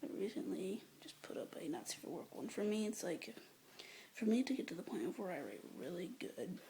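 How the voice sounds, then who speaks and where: Young woman whispering poems into her phone, breathy with a bit of fry, kinda rambly and unsure between them. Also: mid-range voice, rough recording. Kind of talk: whispered poetry reading, ASMR-like. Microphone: phone or webcam close up.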